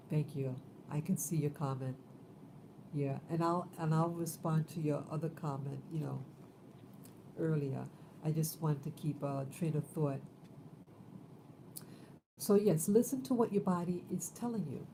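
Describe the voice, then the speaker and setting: Woman, 50s, livestream host, halting and rambling, low voice, mellow tone. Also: slight background noise, poor audio. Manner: rambling, halting, many fillers. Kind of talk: casual livestream talk.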